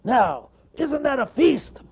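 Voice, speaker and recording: A man voicing a grumpy, bossy male bear in a storybook, loud and scornful, shouting and sneering. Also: extremely high pitch, big pitch swings, slow, gravelly, breathy edge, voice pushed up, heavily acted. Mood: angry boastful.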